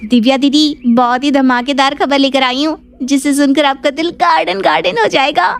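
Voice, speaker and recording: A woman voicing an excitable, teasing young woman with a playful, gushing manner in a cartoon story. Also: sits high, moderate pace, gravelly, voice pushed up. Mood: teasing, excited.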